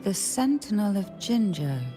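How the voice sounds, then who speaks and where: A woman playing a composed, elegant and enigmatic lady in a video game, speaking calmly and coolly. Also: medium pitch, moderate pace, over music, lightly acted. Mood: calm, analytical.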